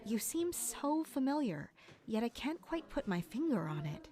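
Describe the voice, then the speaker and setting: Casting: young betrothed noblewoman for audio drama, female actor, high-pitched, friendly, curious, openly candid. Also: sing-song, normal speed, warm tone. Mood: curious, hesitant. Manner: chatty, inquisitive, candid.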